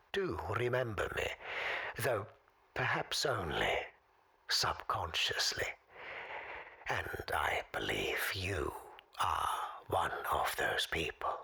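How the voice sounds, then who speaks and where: A mysterious, courteous man in an audio drama, sounding ominous and cryptic. The voice is a harsh, half-whispered rasp with heavy creak. He speaks deliberately and very slowly. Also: fairly low pitch, bright, forceful tone, pitched above the performer's own voice, exaggerated voice. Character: mysterious forgotten male caller, ominous yet courteous.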